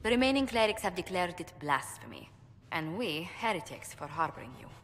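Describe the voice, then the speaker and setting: An actress playing a poised female ambassador who speaks in a gracious, measured, formal way. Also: on the low side, big pitch swings, fairly bright tone, in a large hall. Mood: composed. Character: poised female court ambassador, polite and diplomatic.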